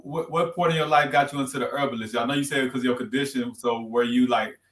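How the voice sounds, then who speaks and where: A man hosting a podcast, asking loose, halting questions full of street slang. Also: medium pitch, steady tone, a bit bright, echoey room. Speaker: podcast host. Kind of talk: casual interview talk.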